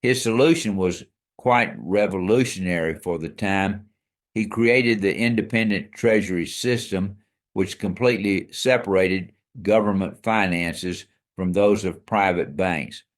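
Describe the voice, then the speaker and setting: A man with a fairly low voice co-hosts a scripted history podcast. He explains each fact with emphasis and leans into the surprising details, as if letting the listener in on a secret. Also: measured pace. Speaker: co-host.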